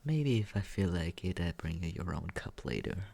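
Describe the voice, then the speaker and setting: A young man voicing a smug young man who teases and quietly looks after the listener, in a close, soft, whispered roleplay. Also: low voice, sing-song, moderate pace, vocal fry, full character voice. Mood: teasing. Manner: teasing, condescending, soft whispering. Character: smug teasing young man, mockingly caring. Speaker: ASMR roleplay performer.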